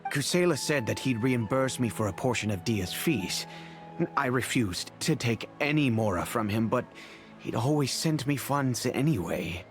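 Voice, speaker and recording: An actor playing a fatherly middle-aged merchant who speaks in a measured, reflective, remorseful way, over faint music. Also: mid-range voice, animated, close to an everyday voice. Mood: thoughtful. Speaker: wealthy patron and father figure. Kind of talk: video game voice acting. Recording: game audio with faint music.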